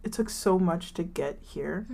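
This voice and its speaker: A young woman in her twenties talks casually as a podcast co-host, rambling through her stories with plenty of filler words. Her voice sits a little low for a woman. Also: measured pace, vocal fry. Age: 20s. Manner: rambling, many likes and ums, animated retellings. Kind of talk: casual chat.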